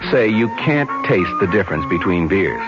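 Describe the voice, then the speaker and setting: Male ad announcer, deep, folksy, persuasive, loud music bed, dull vintage radio. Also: sing-song, moderate pace. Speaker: commercial announcer. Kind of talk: conversational scripted beer advertisement.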